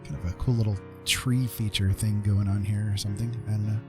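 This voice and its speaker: A man's voice: lowish voice, fairly even intonation, a little fast. A man chatting casually and laid-back on a livestream, thinking aloud as he paints. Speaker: streamer, digital painter. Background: piano music.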